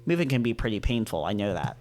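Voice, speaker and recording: A man voicing a tired, older maned wolf neighbor in a visual novel, polite, informal and unhurried. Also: lowish voice, sing-song, darkish tone. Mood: tired, cordial.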